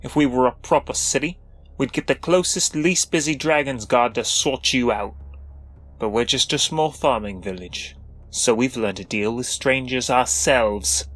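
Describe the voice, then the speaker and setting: A man's voice: medium pitch, a little slow, a bit bright. A man voicing a tall, suspicious farming villager with a confrontational, folksy way of talking, in a fantasy audio story. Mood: threatening. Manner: confrontational, folksy rural phrasing. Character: suspicious, menacing small-town farmer mage, a tall man.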